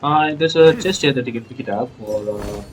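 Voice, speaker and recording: Guy doing a casual game walkthrough, mid voice, kinda hesitant and stop-start. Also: medium pitch. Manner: halting, hesitant delivery. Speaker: gameplay YouTuber.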